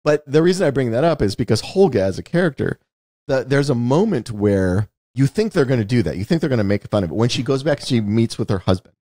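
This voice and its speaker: A man's voice: mid-range voice, very animated, fast talker, warm tone, no echo, clean studio sound. A man hosting a podcast, talking through a film in an emphatic, explanatory way and often checking in with "right?". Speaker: podcast host. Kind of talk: conversational film analysis.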